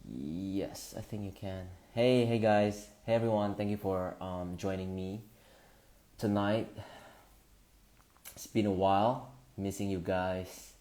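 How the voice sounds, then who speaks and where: A man, hosting a casual live stream, greeting viewers and talking in halting bursts with lots of fillers. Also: sits low, warm tone. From Indonesia. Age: 20s.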